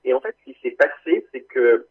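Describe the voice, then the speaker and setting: A man from Canada whose first language is English, calling in as a guest author. He talks fast and eagerly, repeating words as he restarts, and his voice is a little husky. The sound comes through a tinny phone line. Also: mid-range voice, animated. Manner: quick, eager, stumbling restarts. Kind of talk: interview over the phone.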